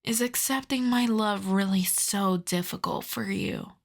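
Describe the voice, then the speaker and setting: A woman voicing a lovesick, possessive female vampire in an intimate ASMR roleplay, hushed and often slipping into whispers. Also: mid-range voice, measured pace. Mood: wounded, menacing. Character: lovesick female vampire, sorrowful, pleading, possessive, tenderly menacing.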